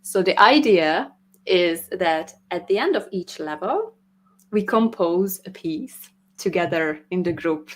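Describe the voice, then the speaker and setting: A woman's voice: fairly low pitch, expressive. Woman music teacher. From Hungary. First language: Hungarian. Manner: thoughtful, laughs often, slightly halting. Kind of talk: casual interview.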